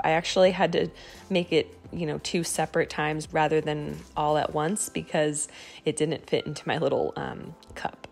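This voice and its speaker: A woman's voice: lowish voice, gravelly. An American woman giving a casual recipe voice-over in a conversational, explanatory way, as a home baking vlogger.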